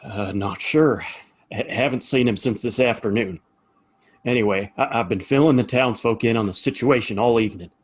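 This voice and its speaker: An actor playing a nervous, deferential small-town barkeep in a Western audio play, with a hesitant, stammering delivery and a husky voice. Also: male voice, medium pitch, moderate pace, close to an everyday voice. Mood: anxious.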